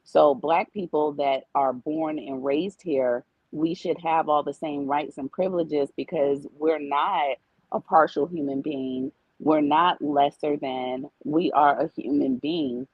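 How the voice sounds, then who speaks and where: A woman's voice: low-pitched, slow, vocal fry, breathy edge, no room sound, lo-fi sound. A woman speaking as a talk show guest, earnestly making her case and repeating her points in casual discussion. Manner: explains at length, repeats points.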